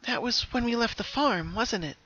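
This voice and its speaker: A man's voice: very high-pitched, normal speed, sharp tone, muffled recording, voice pushed up, exaggerated voice. A man voicing a troubled young female pony in a story reading, earnest, hesitant and pleading. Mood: puzzled.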